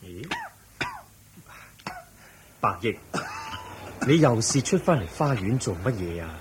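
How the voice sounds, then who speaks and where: A serene, fatherly Buddha speaks Cantonese-accented lines in a radio drama. The voice is low and very slow, patient and gently advising, over faint background noise. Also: big pitch swings. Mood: caring concern. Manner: unhurried, gently advising, measured. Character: the Buddha, a calm, caring, patient male teacher.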